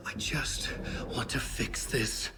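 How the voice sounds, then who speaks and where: A man playing an anxious, haunted novelist in a supernatural video game, speaking in a brooding, halting, earnest way. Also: in a whisper, lightly acted. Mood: brooding, troubled. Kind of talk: cinematic game monologue and dialogue. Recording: dramatic music behind the voice.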